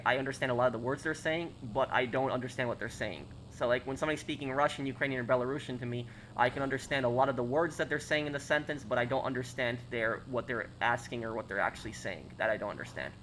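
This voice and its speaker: A man's voice: mid-range voice, fairly bright tone, slight background noise, low-quality recording. American man chatting on a live stream. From United States. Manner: reads out and answers chat questions, many fillers and restarts. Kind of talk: casual live-stream chat.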